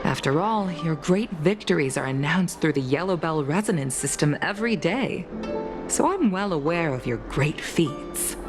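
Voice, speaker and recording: A woman playing a composed, mature official and former pilot in a video game, speaking in a measured, formal and courteous way. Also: deep voice, animated. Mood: courteous, nostalgic. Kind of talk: scripted video game voice acting. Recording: music behind the voice.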